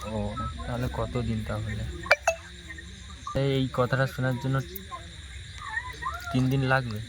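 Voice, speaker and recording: A man's voice: medium pitch, steady tone, takes it slowly. Young man coaxing over heavy background noise. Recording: clear background noise, ambient hiss and chirping.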